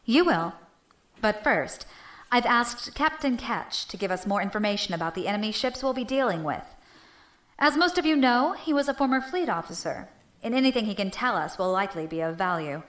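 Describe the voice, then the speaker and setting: Female clan leader, played by an actress: mid-range voice, dry and shrewd, relaxed command, space drama. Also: mid-range voice, brisk, natural voice. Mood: confident. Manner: dry, casual authority. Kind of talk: science fiction audio drama.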